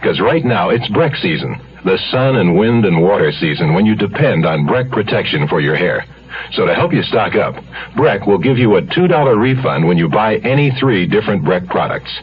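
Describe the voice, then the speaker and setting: A male radio announcer with a low voice and lively, swinging intonation. He reads a scripted commercial, and the old broadcast recording sounds dull. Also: moderate pace. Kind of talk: scripted radio advertisement narration.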